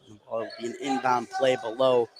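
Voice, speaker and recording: A man's voice: medium pitch, level tone, unhurried, background noise. A man giving live play-by-play commentary on a basketball game for radio.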